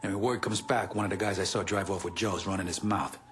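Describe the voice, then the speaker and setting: Low-voiced, foul-mouthed gangster played by an actor. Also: male voice, fairly even intonation, quick pace, slight background noise, close to an everyday voice. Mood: bitter, grim. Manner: blunt, streetwise, heavy swearing. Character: weary, profane middle-aged male gangster grieving a friend. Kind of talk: video game cutscene acting.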